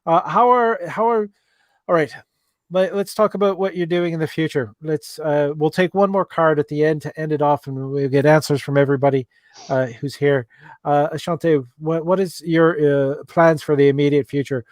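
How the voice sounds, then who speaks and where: A man's voice: a little high, fairly even intonation, normal speed, no echo. A man with an Irish accent hosting a live call-in chat show and reading out viewer questions, with many ums and restarts. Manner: hesitant, many fillers and restarts. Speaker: livestream host.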